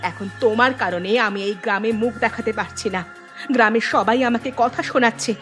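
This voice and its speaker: A woman's voice: on the high side, fairly quick, fairly bright tone, faint music behind, natural voice. A woman voicing an angry, bitter wife in a cartoon village drama, scolding and emphatic.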